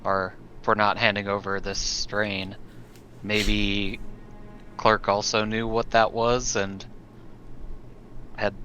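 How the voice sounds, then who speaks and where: A man roleplaying in a tabletop game, speaking in a measured, deliberating manner. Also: lowish voice, level tone, sharp tone, muffled recording, soft background music.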